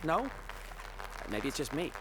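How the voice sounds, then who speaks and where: Jittery friendly slacker, highish voice, halting, goofy game character, noisy crowded background. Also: male voice, very animated, a crowd in the background. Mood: amused, nervous. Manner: halting, stammering, says dude often. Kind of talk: video game character acting.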